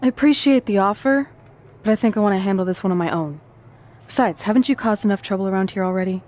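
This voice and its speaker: An actress playing a tough, independent pro wrestler in a video game, snippy, curt and sardonic. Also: female voice, medium pitch, quick pace, a little mellow, close to an everyday voice. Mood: guarded, snippy.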